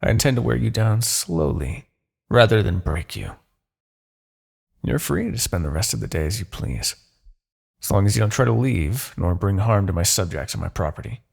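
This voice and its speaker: Man acting a gravelly, haughty demon king. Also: fairly low pitch, lively intonation, moderate pace, full character voice. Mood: calm, menacing. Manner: haughty, theatrical, formal diction. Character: smug demon king, possessive, courtly, thwarted suitor. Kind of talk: ASMR roleplay, dramatic character acting.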